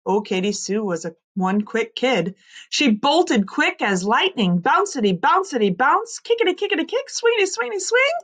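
A woman in her thirties reading a children's story aloud like a teacher, expressively, with dramatic drawn-out emphasis on key words. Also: sits high, sing-song, fairly bright tone. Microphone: computer mic on a screen-share video recording.

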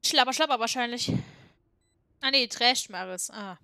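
A woman's voice: on the high side, moderate pace, gravelly, pushed and bright, clean tone, no echo, studio quality. A woman streaming a game with friends, chatting playfully, teasing and giggling often. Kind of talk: casual gaming chat.

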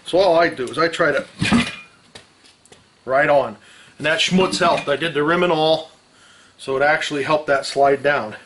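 Higher-voiced folksy man, clanking around him. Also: moderate pace, long pauses, a bit bright. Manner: folksy, salty slang, talking while working. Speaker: mechanic, host of a how-to video. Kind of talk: casual hands-on tutorial. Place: garage with open door. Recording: clanking metal tool noise.

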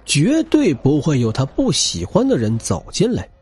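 A man's voice: on the high side, huge pitch swings, moderate pace, darkish tone, slightly rough. A man narrating action fiction as a scripted audiobook, with a dramatic, theatrical and intense delivery.

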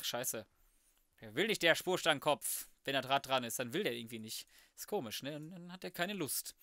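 Slangy man, slightly high voice. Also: fairly bright tone, no echo, studio quality. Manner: colloquial, flippant slangy muttering to himself. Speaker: gaming video host. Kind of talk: casual let's play commentary. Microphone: close microphone, voice-over.

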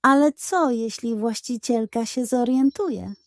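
A woman voicing an anxious, hesitant female goat in a children's story, with a squeaky voice and worried protests. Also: a little slow, no echo, clean studio sound.

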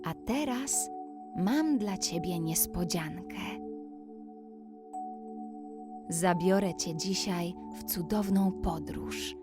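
Female bedtime-story narrator, soothing and gentle, extremely slow delivery for guided relaxation, over prominent background music. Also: fairly low pitch. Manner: soothing, gentle, addressing a child listener.

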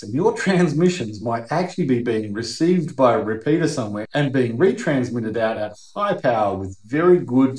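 A man's voice: mid-range voice, a little mellow. A middle-aged Australian man giving a conversational, emphatic, plain-spoken explainer to camera as a video host. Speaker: YouTube host. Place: indoor workshop or garage. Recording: roomy, echoing indoor sound.

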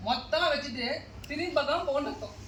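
A man's voice: very high-pitched, racing. A man acting in a comic stage play as a pompous, nitpicking householder, scolding and exasperated. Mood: irritated. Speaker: stage actor. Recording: distant mics, echoey hall, noisy.

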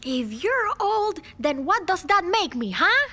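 A feisty young woman in an audio drama. Her voice is high and very bright, with lively, swooping pitch. She sounds like an exasperated younger sister, sharp and challenging. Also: takes it slowly, a little hiss or hum, heavily acted. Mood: hurt, angry. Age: 20s.

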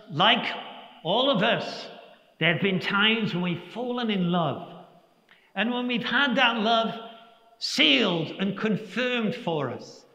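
An older man, a priest giving a Sunday homily in a large church, preaching slowly and reflectively with emphatic pauses. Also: sits high, sharp tone, lo-fi sound. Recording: echoey church with long reverb.

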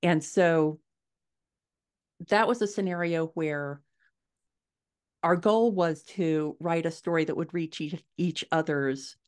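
A woman's voice: deep voice, slow pace, long pauses. A middle-aged American woman explaining things conversationally as an interview guest, thinking aloud on a video call from home. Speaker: guest author and podcaster. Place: living room at home, indoors, on a video call.

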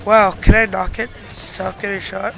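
A congested-sounding gamer giving casual, sweary gameplay commentary with blurted, excitable reactions. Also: medium pitch, moderate pace, creaky. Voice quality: congested, stuffed-up nose. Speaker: video game player recording commentary. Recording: gunfire and game noise behind voice.

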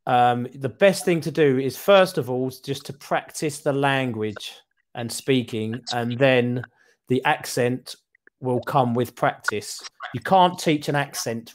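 A man's voice: mid-range voice, a little hiss or hum. A middle-aged British man, an online English tutor, talking casually over a video call on a headset and often repeating words as he starts a thought. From United Kingdom. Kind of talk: casual conversation lesson. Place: small room at home.

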